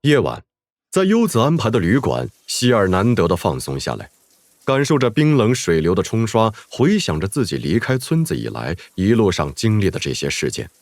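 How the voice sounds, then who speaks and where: A man's voice: lowish voice, wildly swooping pitch, normal speed, a little mellow, no echo. A man delivering dramatic scripted narration for a story. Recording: background music under the voice.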